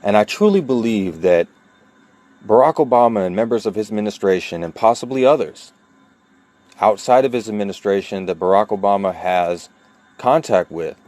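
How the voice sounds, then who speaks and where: Low-voiced, deliberate, emphatic man. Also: a little mellow, no echo. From New York, USA. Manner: deliberate, emphatic, with long pauses between phrases; earnest and insistent, repeating key points. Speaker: vlogger telling his own story. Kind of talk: personal monologue to camera.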